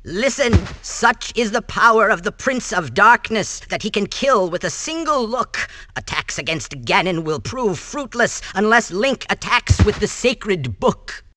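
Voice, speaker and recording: A man's voice: high voice, lively intonation, measured pace, hardly any pauses, background noise. A man playing a solemn old mystic guide in a cartoon game, declaiming portentously. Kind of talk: animated game cutscene acting.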